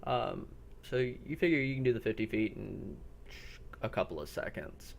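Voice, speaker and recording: A man with a fairly low voice runs a role-playing game. He describes the scene off the cuff in a halting way and checks with players on what they do. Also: animated, creaky, slight background noise. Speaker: game master. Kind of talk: improvised narration of a tabletop-style zombie role-play.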